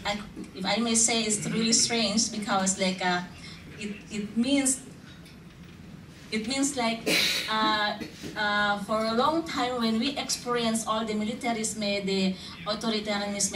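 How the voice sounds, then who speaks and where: A woman from Indonesia, a panel guest, answering slowly with many fillers and restarts as she searches for words. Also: mid-range voice. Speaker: panel guest, community activist. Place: conference hall. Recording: roomy hall, distant mic, faint noise.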